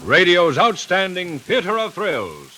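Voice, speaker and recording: A man's voice: sits high, huge pitch swings, unhurried. A man announcing a drama show in dramatic 1940s radio style. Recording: vintage radio recording over loud music.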